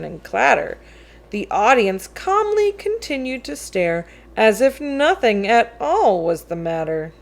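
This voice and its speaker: Slow-paced woman reading a children's story. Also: fairly high pitch. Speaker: read-aloud storyteller. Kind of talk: expressive children's picture book read-aloud in verse.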